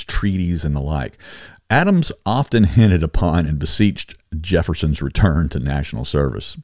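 Male history narrator and host, very deep bass, formal and measured, dry recording. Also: a little mellow, no room sound. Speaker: host and reader of a history series. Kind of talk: scripted narration with explanatory asides.

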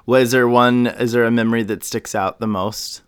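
A man's voice: mid-range voice, fairly even intonation, a little fast, clear, resonant tone, no room sound, studio quality. A man hosting a podcast, asking rambling questions with many fillers. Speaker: podcast host. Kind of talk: casual interview chat.

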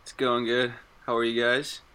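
A man's voice: mid-range voice, monotone, normal speed, crowd noise. A man around twenty, a college student, chatting casually on a podcast in an easygoing, laid-back way. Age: 20s. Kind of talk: casual group chat.

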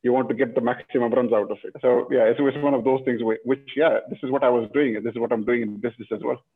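A man from Pakistan muses as an interview guest, circling his points with restarts and "you know"s. He talks quickly at a middling pitch, and the sound comes through a thin, phone-like line. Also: steady tone, husky. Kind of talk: casual interview chat.

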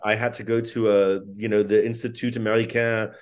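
Lively middle-aged man telling stories. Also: on the low side, brisk. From England. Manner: lively anecdotal storyteller who acts out the voices of people he quotes. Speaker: podcast guest, wine negotiant. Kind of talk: casual storytelling interview.

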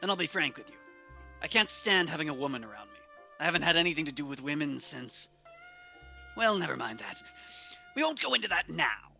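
Man acting a creaky, blunt, awkward professor. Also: a little high, sing-song, pushed and bright, soft background music, full character voice. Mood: blunt, irritated. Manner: halting, blunt, formal. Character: awkward, blunt male professor, wary of women. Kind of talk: old-time radio play acting.

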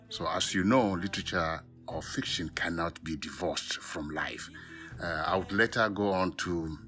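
A man from Ghana and Togo with a West African accent, speaking as a professor in a formal, measured academic talk with self-corrections. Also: low voice, bright, forceful tone. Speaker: professor of African literature, podcast guest.

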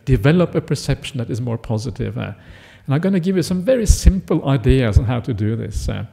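A middle-aged man born in Norway, a Buddhist monk giving a relaxed, conversational Dharma talk full of "uh" fillers and "yeah?" checks. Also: mid-range voice, fairly quick, gravelly.

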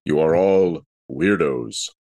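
A man's voice: a bass voice, slow pace, creaky, a little mellow. A man co-hosting a podcast, joking drily in casual banter. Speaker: podcast co-host and player.

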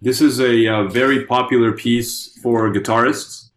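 A man's voice: mid-range voice, fairly even intonation, a little slow. A young adult man from Mexico, a composer and guitarist, chatting eagerly as a podcast guest, often restarting and repeating words. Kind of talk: casual podcast chat.

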